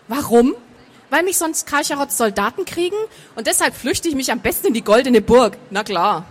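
A woman's voice: high voice, lively intonation, moderate pace, slightly rough. A woman voicing a stubborn, sarcastic, hard-drinking woman of action in a storybook, blunt and defiant.

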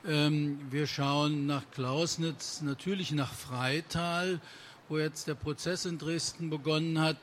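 Slow, hesitant male moderator. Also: fairly high pitch, steady tone, fairly bright tone, a little hiss or hum. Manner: hesitant, many filler sounds. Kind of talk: introducing speakers at a book presentation.

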